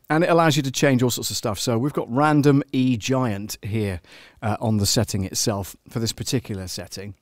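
A man in his fifties giving a casual live software tutorial, chatty and halting as he thinks aloud, on a close studio microphone. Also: mid-range voice, no room sound. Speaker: live-streaming audio producer demonstrating software. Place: small home studio indoors.

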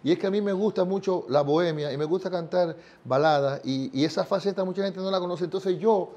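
A man's voice: high voice, fairly quick, mellow. A man in his sixties, a singer, talking earnestly in an interview and often repeating words as he searches for them. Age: 60s. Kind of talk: casual interview chat. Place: bright living room set, indoors.

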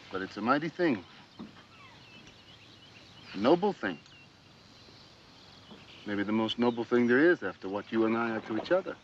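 A man's voice: medium pitch, a bit bright, slight background noise. An actor playing an earnest, solemn frontier father in a television period drama. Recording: dull old TV soundtrack with music.

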